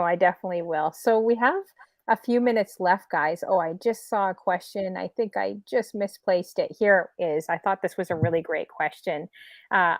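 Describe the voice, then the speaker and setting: A woman from Toronto with a fairly low voice hosts a relaxed talk show. Her questions come out chatty and loose, with plenty of fillers, and the close mic keeps the sound dry. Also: no room sound. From Toronto, Canada. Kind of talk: casual interview chat.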